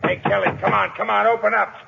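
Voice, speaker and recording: An actor playing a brusque, exasperated baseball manager, barking impatiently in 1940s radio comedy style. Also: male voice, sits high, little pitch movement, quick pace, gravelly, very noisy. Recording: dull old radio recording.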